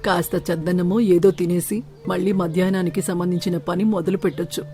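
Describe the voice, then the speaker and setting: A woman voicing a dutiful young servant boy in an animated story, thinking aloud in a subdued, matter-of-fact way. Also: lowish voice, a little fast, soft background music, close to an everyday voice. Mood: resigned.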